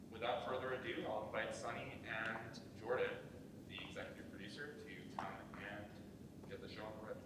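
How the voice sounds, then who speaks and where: Young guy w a really low voice introducing an event, far from mic, noisy. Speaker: club host introducing a live script reading. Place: large echoey indoor room, live event. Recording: echoey room, distant mic, clear background noise.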